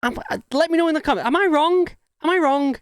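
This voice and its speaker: A man's voice: extremely high pitch, quick pace, no room sound, clean studio sound. A young English man hosting a reaction video, chatting casually and talking back at the clips with laughs. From United Kingdom.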